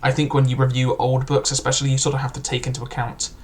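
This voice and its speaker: A man's voice: mid-range voice, monotone, fast talker. A young man casually reviewing a book, chatty and loose, with frequent filler words and restarts.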